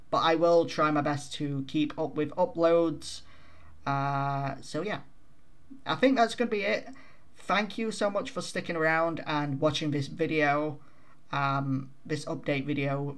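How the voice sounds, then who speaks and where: Young guy rambling slow at his desk mic, kinda high voice, jokey and self-mocking. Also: a bit bright. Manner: self-deprecating, chatty, many fillers. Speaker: vtuber channel host. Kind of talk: casual rambling update to viewers. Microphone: desk microphone at home.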